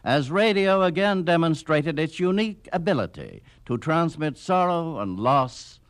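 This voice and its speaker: A man's voice: fairly high pitch, lively intonation, a little slow. A man reading scripted history narration for a radio documentary.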